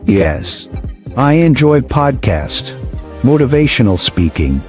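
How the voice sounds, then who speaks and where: A man from Guyana answering prepared interview questions deliberately, repeating each question in his answer. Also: medium pitch, sing-song, darkish tone. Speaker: interviewee, motivational platform founder. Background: music.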